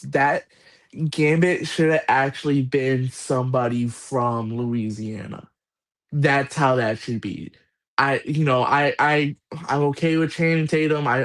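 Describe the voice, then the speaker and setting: Guy chatting casually, mid voice, halting and rambly. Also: mid-range voice. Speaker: co-host. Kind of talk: casual chat.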